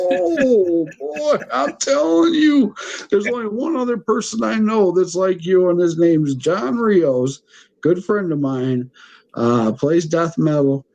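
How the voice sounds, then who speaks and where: A man's voice: high voice, animated, takes it slowly, creaky, a little mellow, muffled recording. A middle-aged man hosting a podcast, chatty and enthusiastic, laughing often as he talks. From United States. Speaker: podcast host. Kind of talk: casual interview chat.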